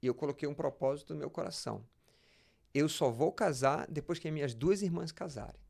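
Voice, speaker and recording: Brazilian male pastor, interview guest. Slightly high voice with noticeable creak, conversational storyteller who restarts phrases. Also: brisk, a little mellow. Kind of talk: conversational interview, personal anecdotes.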